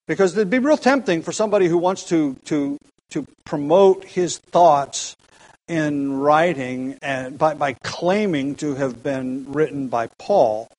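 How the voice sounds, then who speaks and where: A man's voice: fairly high pitch, darkish tone, low-quality recording. A man preaching an expository sermon, earnest and emphatic, often restarting phrases.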